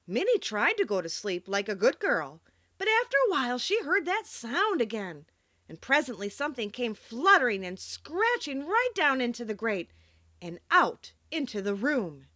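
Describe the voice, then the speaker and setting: A woman narrating a children's storybook in an expressive, dramatic storytelling manner. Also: a little high, normal speed, dry recording. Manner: animated, storytelling delivery with dramatic emphasis. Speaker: audiobook narrator.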